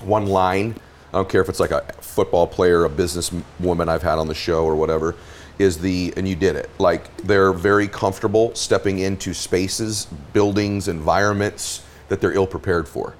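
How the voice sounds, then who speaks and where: A man's voice: low voice, fairly even intonation, moderate pace, slight background noise. A man hosting an interview, reacting eagerly and enthusiastically to his guest. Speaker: interview host. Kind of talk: casual interview chat.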